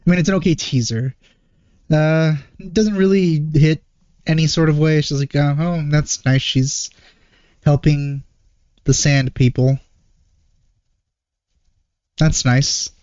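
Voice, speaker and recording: Mellow, dry-sounding man, hesitant and low-key. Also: steady tone, slow pace, pauses often, clean tone, dry recording, muffled recording. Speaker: reaction video host. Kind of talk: casual reaction commentary. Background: game trailer music.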